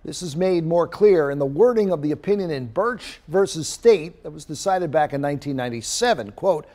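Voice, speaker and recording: A middle-aged man reading the news as a studio anchor, in a measured, formal delivery. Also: fairly high pitch, expressive, dark tone.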